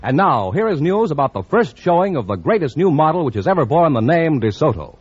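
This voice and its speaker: A man's voice: fairly high pitch, big pitch swings, fairly quick, soft background music. An American man announcing for radio in a polished, promotional, scripted 1950s style. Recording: muffled old-time radio recording.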